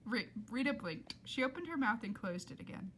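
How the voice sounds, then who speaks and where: Female storybook reader, expressive and dramatic, bright tone, lively pitch swings. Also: fairly quick, a little hiss or hum. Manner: dramatic, with emphatic character voices. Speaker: reader reading a children's novel aloud.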